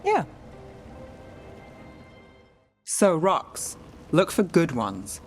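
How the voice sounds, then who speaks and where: An actress playing a dry, weary, streetwise investigator with a laconic, deadpan delivery in a fantasy video game. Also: female voice, low voice, slow pace, heavily acted. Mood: deadpan, casual. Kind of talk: naturalistic video game acting. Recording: game music behind the voice.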